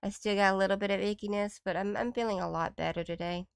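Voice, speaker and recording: A woman's voice: medium pitch, fairly even intonation, quick pace, gravelly. A woman giving casual reaction commentary as a video host, in an appreciative, easily impressed way.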